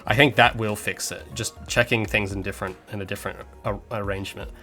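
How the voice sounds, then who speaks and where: A man's voice: lowish voice, steady tone, quick pace, sharp tone, faint music behind. A man from Australia, an indie game developer, chatting casually on a livestream, rambling with many fillers and restarts. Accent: Australian with some American sounds.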